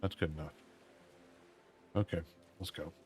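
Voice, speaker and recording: A man, streaming a game and muttering to himself in a drawling, self-mocking way, his voice going hoarse. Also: low-pitched, steady tone, a little slow, darkish tone, soft background music. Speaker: gaming streamer. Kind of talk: casual gameplay commentary.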